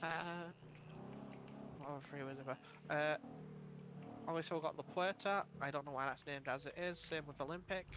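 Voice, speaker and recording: A man with a slightly high voice chats casually in a police roleplay, swearing as he goes. There is clear vehicle noise behind him. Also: fairly even intonation, normal speed, long pauses. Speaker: field training officer in a police roleplay. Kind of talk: casual in-character roleplay chat.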